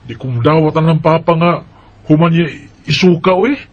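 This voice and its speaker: A man's voice: on the high side, normal speed, muffled recording, natural voice. A man with a Cebuano Filipino accent playing a resentful young eldest brother in a Bisaya radio drama. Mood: bitter, resentful. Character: resentful young adult eldest brother Arnel, bitter about father.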